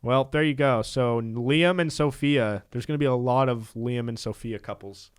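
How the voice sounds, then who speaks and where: Young male podcast co-host, mid-pitch, loose and laughing, dry close mic. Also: normal speed, smooth, no room sound. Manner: relaxed, laughs often, reads out lists with asides. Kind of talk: casual chat.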